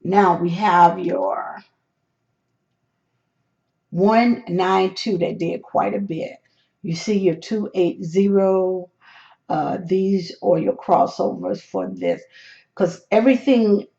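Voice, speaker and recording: Slow, gravelly, hoarse tutorial voice. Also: mid-range voice, dark tone, muffled recording. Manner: slow, step-by-step explaining with hesitant restarts. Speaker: lottery number tutorial host. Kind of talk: screen walkthrough of number charts.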